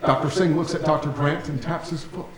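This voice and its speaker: A man's voice: a little high, steady tone, fairly quick, over a noisy crowd. Male stage-directions reader, scripted narration, distant and reverberant, audience audible. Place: theatre stage. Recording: echoey theatre, distant mic, audience sounds.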